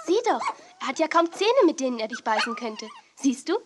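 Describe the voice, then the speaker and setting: A woman's voice: high-pitched, sing-song, brisk, noisy background. An actress playing a sweet, sensible young woman in a 1980s children's audio play, polite and reassuring.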